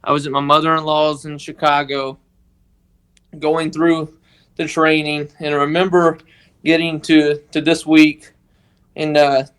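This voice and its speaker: A man with a Mississippi accent, telling a personal story on a podcast in a halting, earnest way. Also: on the high side, little pitch movement, fairly bright tone, clean tone. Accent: Southern US, Mississippi. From northeast Mississippi, United States. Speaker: podcast guest, organization member telling his story.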